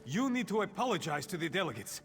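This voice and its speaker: A man's voice: high-pitched, very animated, quick pace, creaky, a bit bright, faint music behind, heavily acted. A man playing an earnest, exasperated loyal thane and royal advisor in a video game, speaking with weary concern. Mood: urgent, pleading. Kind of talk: video game character acting.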